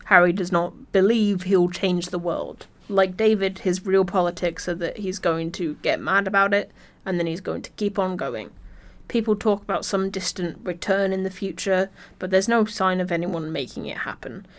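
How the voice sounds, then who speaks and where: A woman's voice: lowish voice, steady tone, normal speed. A woman reading scripted video essay narration in a wry, informal way.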